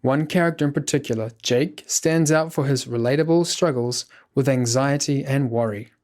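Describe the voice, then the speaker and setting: A man's voice: medium pitch, moderate pace, darkish tone. A man delivering scripted documentary narration.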